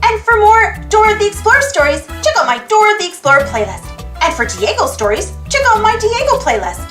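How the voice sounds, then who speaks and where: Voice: very high-pitched, big pitch swings, brisk, a bit bright, echoey room, faint music behind. A storybook reader with an exclaiming manner, reading aloud for children and prompting young listeners with questions. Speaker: storybook reader and channel host.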